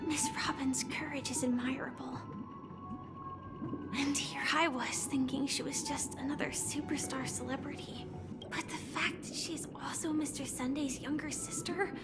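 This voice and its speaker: A woman's voice: high-pitched, fairly even intonation, normal speed, lightly acted. An actress playing a composed, skeptical young woman, articulate and questioning, in a dramatic video game. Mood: thoughtful, skeptical. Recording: loud game music over the voice. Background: loud music.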